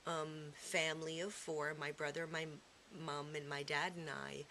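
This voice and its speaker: A middle-aged woman giving a reflective, personal interview, speaking haltingly as she searches for her words. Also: sits low, little pitch movement, sharp tone. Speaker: theatre performer being interviewed. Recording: clearly noisy room recording.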